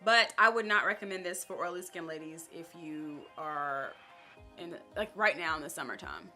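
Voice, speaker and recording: A young woman casually chatting to camera as a beauty vlogger, upbeat and talking straight to her viewers, her voice a little raspy. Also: low voice, animated, moderate pace, pushed and bright, soft background music. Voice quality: slightly raspy.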